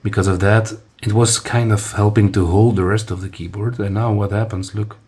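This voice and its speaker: A man's voice: lowish voice, steady tone, normal speed, a little mellow, slightly rough. Man with a Dutch first language, rambling review. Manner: rambling, many restarts and fillers, demonstrating as he talks. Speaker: phone reviewer. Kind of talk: casual hands-on product review.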